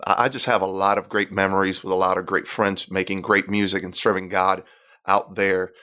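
A man in his thirties with a Puerto Rican accent and a fairly low voice. He is a worship leader talking in an interview, friendly and agreeable, and quick to affirm what he hears. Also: little pitch movement, bright, forceful tone. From Puerto Rico. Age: 30s. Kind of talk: conversational interview.

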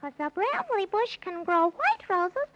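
A woman's voice: very high-pitched, sing-song, measured pace, muffled recording, exaggerated voice. A woman playing a small, spunky male squirrel in a childlike, cheeky manner, in a 1930s children's radio play. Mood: earnest. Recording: very noisy vintage 1930s radio recording.

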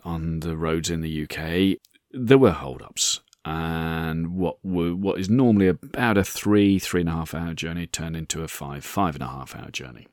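Low, croaky older man. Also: no echo, clean studio sound. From England. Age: 60s. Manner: rambling, repeats words, chuckles while telling stories. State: hoarse, croaky voice. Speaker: podcast host. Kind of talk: casual anecdotal monologue.

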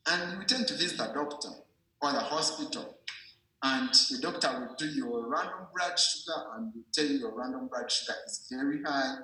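Man, sharp bright voice, teacherly informal explanation, checks understanding, very reverberant room. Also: mid-range voice, moderate pace. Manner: didactic, repeats and checks understanding. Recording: very echoey room.

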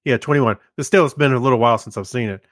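A man's voice: medium pitch, rapid-fire, a bit bright, no echo. Casual male podcast co-host, dry close sound. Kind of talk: casual chat.

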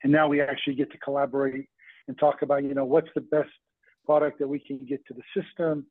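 A man's voice: mid-range voice, little pitch movement, fast talker, no echo. A middle-aged man from Lebanon and West Virginia, a doctor casually explaining his work as an interview guest. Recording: thin phone-like band, dry.

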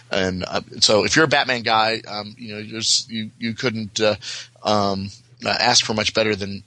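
Bright, slightly low-voiced American man chatting haltingly. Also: no room sound, rough recording. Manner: halting, many filler words and restarts. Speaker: podcast guest. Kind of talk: casual panel chat.

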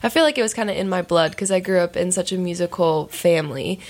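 A woman in her twenties with a raspy voice, a singer telling her story as a guest in a relaxed interview. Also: fairly low pitch, fast. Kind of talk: casual interview.

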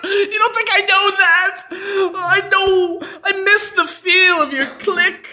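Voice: very high voice, fairly even intonation, slow pace, pushed and bright, exaggerated voice. A performer voicing a needy, jilted deal website as a weepy, whiny ex in a live radio-style comedy sketch. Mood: tearful, wounded. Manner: melodramatic, whiny, stammering pleading. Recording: live unedited stage performance.

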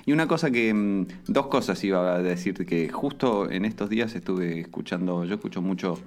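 A man's voice: low voice, moderate pace. A man in casual podcast chat, rambling with many fillers and restarts.

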